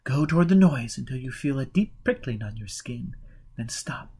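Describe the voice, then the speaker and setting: A man reading the part of a calm, forgiving woman in an audiobook, gently and patiently giving guidance. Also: mid-range voice, moderate pace, husky. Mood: gentle, guiding.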